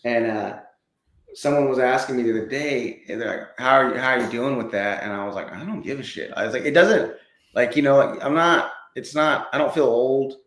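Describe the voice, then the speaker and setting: A man's voice: medium pitch, fairly quick, fairly bright tone. Casual man with a roomy echo. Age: late 30s. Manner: informal, conversational. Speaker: comedian guest. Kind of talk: casual chat. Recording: echoey, roomy sound.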